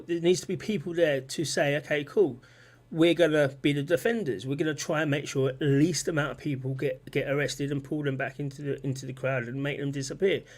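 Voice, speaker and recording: Rambling man, higher-pitched. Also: a little mellow. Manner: rambling, repeats himself, reads out chat comments. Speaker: livestream host. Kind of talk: casual political livestream talk.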